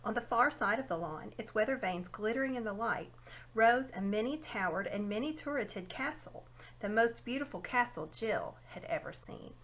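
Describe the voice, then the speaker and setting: A woman giving an expressive read-aloud narration of a children's fantasy story. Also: fairly high pitch, moderate pace, poor audio. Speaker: storybook reader.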